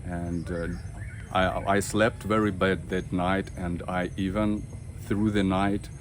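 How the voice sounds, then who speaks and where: A man in his thirties with a Russian accent, talking to camera about his family, halting with many fillers and restarts. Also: low-pitched, little pitch movement, noisy background. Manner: halting, many fillers and restarts, simple non-native grammar. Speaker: vlogger. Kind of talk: personal talk to camera.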